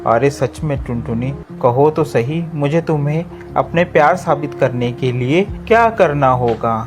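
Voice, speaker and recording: Devoted sparrow husband for cartoon tale, played by a man: gentle, earnest, reassuring, music behind. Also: mid-range voice, lively intonation, measured pace, natural voice. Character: kind, earnest male sparrow, devoted suitor.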